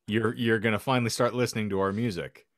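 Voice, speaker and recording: A man's voice: fairly low pitch, steady tone, quick pace, a bit bright, no echo, studio quality. A man co-hosting a podcast, talking casually in a wry, wordy way with an ornate vocabulary. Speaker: podcast co-host.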